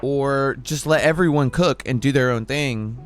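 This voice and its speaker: A man's voice: medium pitch, a little slow, soft background music. A young man hosting a casual talk show from his room. He talks loosely, swears freely and leans on lots of filler words. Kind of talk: casual unscripted chat. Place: home room, self-described cave.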